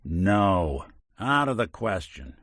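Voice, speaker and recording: An actor voicing a weary synth detective in a video game, a gruff middle-aged man with a dry, wisecracking manner. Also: low-pitched, sing-song, takes it slowly, a bit bright, dry recording, exaggerated voice. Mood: wry. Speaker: companion character. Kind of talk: game character barks.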